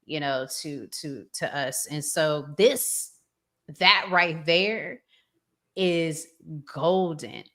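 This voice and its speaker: A woman's voice: low voice, very slow, bright, forceful tone. A middle-aged American woman hosting a casual livestream talk show in a conversational manner. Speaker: podcast host.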